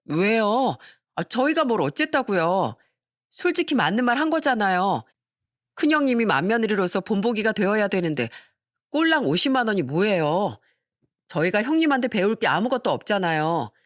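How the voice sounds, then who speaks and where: A woman's voice: mid-range voice, very animated, normal speed, dry recording, clean studio sound, natural voice. Woman acting an insolent, indignant sister-in-law. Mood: indignant, defensive. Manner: indignant, whiny protest. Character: insolent, defensive young married sister-in-law.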